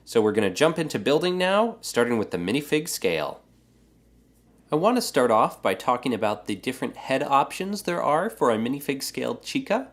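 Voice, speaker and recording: A man with a stuffy, congested voice from a cold, hosting a casual how-to building tutorial and explaining it in a relaxed way with frequent filler words. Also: medium pitch, fairly quick. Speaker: Lego builder and channel host.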